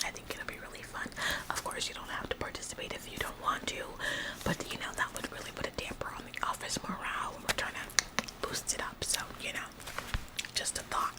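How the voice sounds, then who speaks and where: A woman's voice: a little fast, lightly acted, low-quality recording. A woman playing an overeager, bossy, relentlessly upbeat female office worker in a whispered ASMR roleplay, with a passive-aggressive, falsely modest manner. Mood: perky, pushy. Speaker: ASMR performer.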